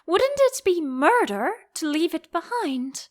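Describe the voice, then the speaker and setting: A woman's voice: extremely high pitch, big pitch swings, measured pace, pitched above the performer's own voice, heavily acted. A woman voicing a polite, earnest storybook little girl with a prim manner, eager to show what she knows. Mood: earnest, concerned.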